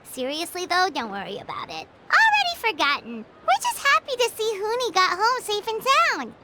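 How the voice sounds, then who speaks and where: A woman voicing a tiny, childlike fairy companion in a video game, squeaky, chirpy and cheeky. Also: wildly swooping pitch, moderate pace, very noisy, exaggerated voice. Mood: cheerful, easygoing. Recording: loud game music behind voice. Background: game background music.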